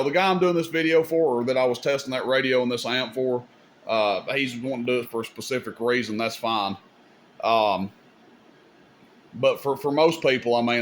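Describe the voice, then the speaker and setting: Casting: male demo host, slightly low voice, informal and opinionated, casual explainer delivery, audible background noise. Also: fairly bright tone. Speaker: host demonstrating radio gear. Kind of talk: casual hands-on demonstration. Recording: clear background noise, room ambience.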